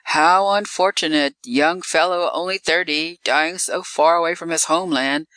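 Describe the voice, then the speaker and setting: A narrator voicing a regretful onlooker discussing a death in hushed, clipped remarks. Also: mid-range voice, moderate pace, dry recording, lightly acted. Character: hushed mourners discussing a young man's death.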